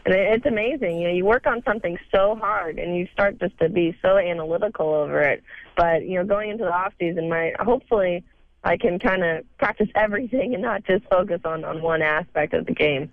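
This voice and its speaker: A young woman with a cold, hoarse, answering interview questions haltingly with many fillers. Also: on the low side, no echo. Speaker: guest on phone line. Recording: tinny phone line.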